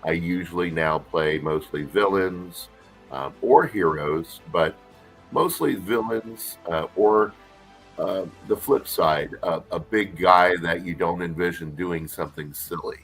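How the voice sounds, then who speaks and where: A middle-aged man, an actor guest with a deep voice, talking casually in an interview with lots of fillers and restarts. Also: a little slow, faint music behind, low-quality recording. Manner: relaxed, rambling, many fillers and restarts.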